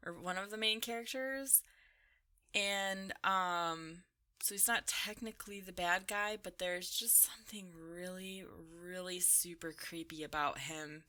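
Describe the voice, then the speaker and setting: A woman's voice: mid-range voice, sharp tone. A woman gaming vlogger answering a list of questions in an informal, rambling, giggly way. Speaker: video game vlogger. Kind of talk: casual chat, answering a list of questions over gameplay.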